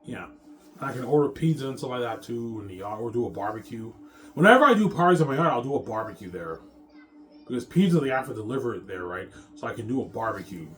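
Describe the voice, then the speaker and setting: A man's voice: medium pitch, sing-song, fast talker, faint music behind. A man in a casual, rambling monologue, boastful and repetitive as he circles back over his phrases. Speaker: self-styled film maker talking about his movie projects.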